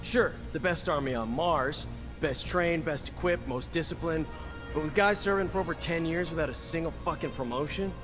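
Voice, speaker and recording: An actor playing a cynical veteran soldier in a video game, gruff and blunt in manner. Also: male voice, a little high, normal speed, close to an everyday voice. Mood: bitter, sarcastic. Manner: gruff, sardonic, blunt, swearing. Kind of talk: video game dialogue acting. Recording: music behind the voice.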